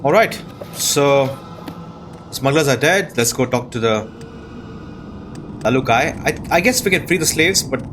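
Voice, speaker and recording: A man giving casual, low-key gameplay commentary, musing aloud as he plays. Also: expressive, measured pace, pauses often. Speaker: gaming video host. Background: game music.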